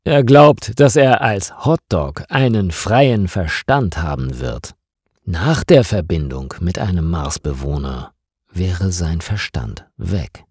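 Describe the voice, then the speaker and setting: Slow, mellow male storyteller. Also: low-pitched, animated, breathy edge, dry recording, clean studio sound. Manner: deliberate, simple short phrases. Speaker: storyteller for language learners. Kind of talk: slow scripted story narration.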